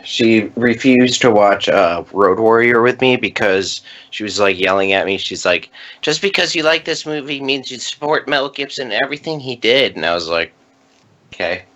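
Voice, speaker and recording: A man's voice: medium pitch, lively intonation, normal speed, fairly bright tone. A man co-hosting a casual podcast, throwing in jokey short remarks. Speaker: podcast co-host.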